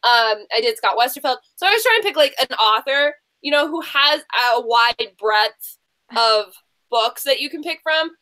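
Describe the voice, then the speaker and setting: A woman hosting a casual book quiz, chatting in an animated, teasing way. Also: on the high side, normal speed. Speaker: quiz show host.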